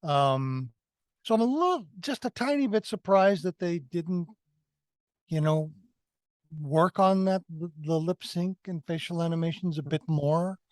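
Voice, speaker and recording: A man co-hosting a review discussion, explaining his views casually and haltingly, often repeating and correcting himself. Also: a little high, dry recording. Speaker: podcast co-host reviewing films.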